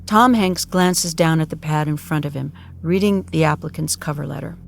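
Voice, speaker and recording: A man's voice: on the high side, normal speed, vocal fry, a little hiss or hum. A man reading scripted, dramatic narration as the narrator of an audio drama.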